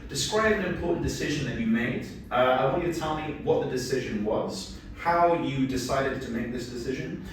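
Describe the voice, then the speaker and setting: A man's voice: medium pitch, lively intonation, brisk. A man acting as examiner in a speaking test, asking questions patiently with frequent fillers. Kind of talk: conducting a mock exam. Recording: echoey room, distant mic, faint noise.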